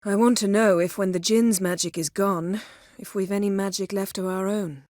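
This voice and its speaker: A woman's voice: mid-range voice, steady tone, normal speed, darkish tone, no echo, studio quality, natural voice. A woman voicing a proud, commanding, sardonic sorceress in a fantasy video game, with formal, cool, clipped diction. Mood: cool, composed. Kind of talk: video game voice acting, scripted dialogue.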